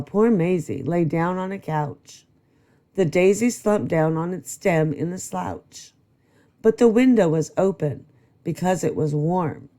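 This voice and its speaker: A woman reading a rhyming children's book aloud as a storyteller, in a steady, rhythmic delivery. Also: lowish voice. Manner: slow, rhythmic rhyming read. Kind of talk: children's read-aloud narration.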